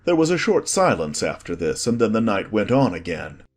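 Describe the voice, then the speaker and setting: A man reads a storybook as narrator. His voice is fairly low and rough-edged, and it moves with lively expression. Faint music plays underneath. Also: normal speed.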